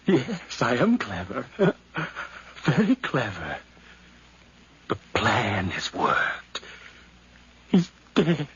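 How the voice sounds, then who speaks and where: Crazed killer nephew, slow n theatrical, voice swinging all over, muffled old radio hiss. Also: male voice, mid-range voice, huge pitch swings, full character voice. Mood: smug, unhinged. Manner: theatrical, agitated, talking to himself. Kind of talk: 1950s radio drama acting. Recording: muffled, noisy 1950s radio recording.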